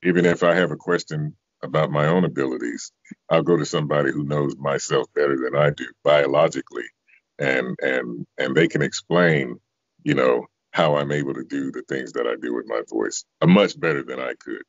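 Extremely deep bass-voiced man in his fifties. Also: fairly even intonation, moderate pace, gravelly, dry recording. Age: 50s. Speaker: interviewee, bass singer and voice actor. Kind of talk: reflective conversational interview answers. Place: indoor room, video call. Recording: dull, lo-fi video call audio.

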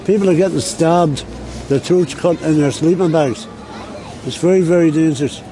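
A man's voice: on the high side, moderate pace, warm tone, a faint crowd, slight background noise. A man with a Northern Irish accent, seated on a city street and talking plainly in an interview, often repeating himself. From Belfast, Northern Ireland. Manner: plain-spoken, repeats words. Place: busy city street outdoors.

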